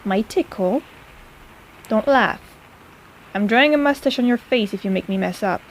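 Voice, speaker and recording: A woman's voice: medium pitch, normal speed, slight background noise, close to an everyday voice, low-quality recording. A woman playing a snarky but caring apothecary in an intimate fantasy audio roleplay, chatty and teasing in a casual way. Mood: focused, teasing.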